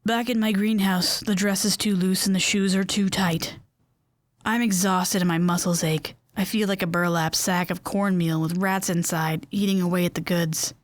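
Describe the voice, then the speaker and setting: A woman's voice: fairly low pitch, dry recording, clean studio sound. A woman reading first-person story narration, then chatting as the bubbly host of an in-world radio program, with rambling asides. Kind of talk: scripted audio drama narration and monologue.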